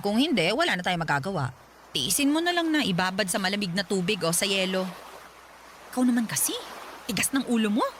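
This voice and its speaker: An actress playing a cruel, manipulative Filipino mother in a radio drama, sharp, sarcastic and dismissive as she scolds. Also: mid-range voice, slight background noise, natural voice. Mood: grudging, nagging. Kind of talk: Tagalog radio drama acting. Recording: music under the voice.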